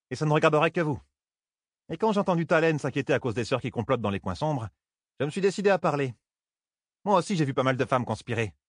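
A man's voice: a little high, dry recording, clean studio sound, voice pushed up. A man voicing an outspoken, earthy woman of rank who talks like a solid peasant, in a fantasy audiobook. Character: regal-looking woman with a solid peasant's bluntness.

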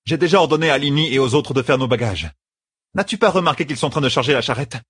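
A man's voice: medium pitch, animated, fast, fairly bright tone, husky, no room sound, clean studio sound, voice pushed up. A man voicing a proud, torn queen, a mature woman in love, with a regal, impassioned delivery for an audiobook. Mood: sharp, irritated.

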